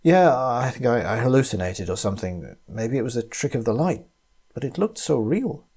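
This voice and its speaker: A man's voice: mid-range voice, sing-song, normal speed, darkish tone, slightly rough. A man voicing an affectionate, easily rattled woman hiker in a story, with emotional delivery. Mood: confused, shaken. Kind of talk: audiobook character dialogue.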